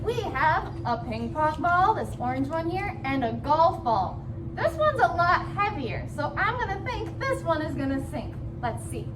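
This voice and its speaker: A woman's voice: sits high, very animated, measured pace, very noisy. A woman co-hosting a children's science session in an informal, upbeat and encouraging way, explaining each step simply. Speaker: children's science demonstrator and co-host.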